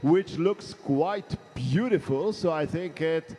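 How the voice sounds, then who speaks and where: Male event host, upbeat and chatty, higher-pitched voice, echoey venue. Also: slow pace, dark tone, a little hiss or hum. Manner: chatty, playful, enthusiastic. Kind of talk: live event commentary and interviews. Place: large competition hall. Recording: long echo of a big hall.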